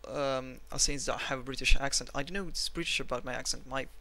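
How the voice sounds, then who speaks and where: A man with a Russian accent walks through market charts at his desk, rambling and self-mocking. His voice is gravelly and bright, recorded on a desk mic. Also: medium pitch, fast. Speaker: trading analyst. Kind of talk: screen-recorded chart analysis.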